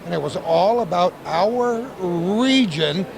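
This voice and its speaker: A man's voice: high-pitched, animated, slow pace, gravelly, a crowd in the background. A man hosting a local TV show, chatting jovially with guests at a business expo and laughing often. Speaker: local TV host. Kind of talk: casual on-location interview. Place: busy indoor business expo.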